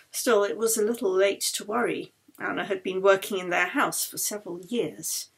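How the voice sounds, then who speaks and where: A woman reading a novel aloud as its author, in an expressive storytelling manner that brings the dialogue to life. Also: mid-range voice, creaky, breathy edge. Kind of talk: scripted narration, audiobook reading.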